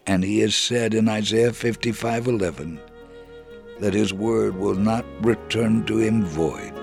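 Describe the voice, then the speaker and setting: A man reading a scripted first-person religious narrative in a measured, solemn, dramatic way. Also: on the low side, creaky, darkish tone, soft background music. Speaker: storyteller on a religious radio program.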